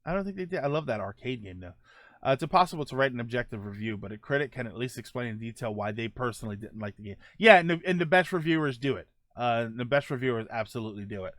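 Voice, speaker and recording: A man's voice: medium pitch, lively intonation, fast talker, fairly bright tone, dry recording. A middle-aged man hosting a casual podcast, rambling through viewer comments with many fillers and self-corrections. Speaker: podcast host.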